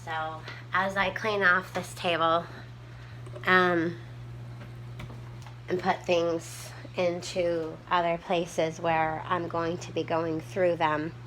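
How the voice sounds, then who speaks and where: A woman with a small, soft voice, chatting casually to her viewers from home with lots of ums while she tidies up. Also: fairly low pitch, a little hiss or hum, poor audio. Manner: rambling, many ums, homespun talk. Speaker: prepping vlogger. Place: home room, tidying a table.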